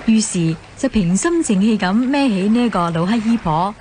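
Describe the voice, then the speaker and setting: A woman's voice: medium pitch, animated, moderate pace, mellow, slight background noise. A woman with a Hong Kong accent narrating a scripted mythological radio drama as a storyteller.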